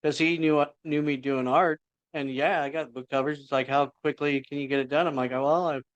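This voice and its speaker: Smooth-voiced man chatting casually. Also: on the high side, fairly even intonation, a little fast, dry recording, muffled recording. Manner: rambling, self-interrupting storyteller. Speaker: indie author guest. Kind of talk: casual podcast chat.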